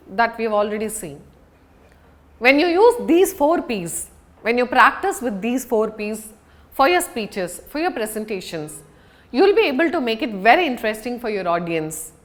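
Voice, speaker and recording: A woman giving an instructional lesson as a public speaking coach, talking in a deliberate, emphatic way with pauses for stress. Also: a little high, sing-song.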